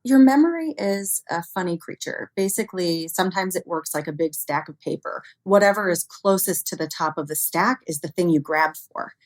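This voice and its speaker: A woman's voice: on the low side, expressive, moderate pace, few pauses. A woman speaking as an expert interview guest, explaining things conversationally with everyday examples. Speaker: interview guest, expert on human error in cybersecurity.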